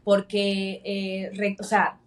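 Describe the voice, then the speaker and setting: A woman's voice: medium pitch, monotone, takes it slowly, recorded from a distance. A woman with a Dominican Spanish accent telling her personal story as a podcast guest, emphatic and repeating phrases for weight. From Dominican Republic.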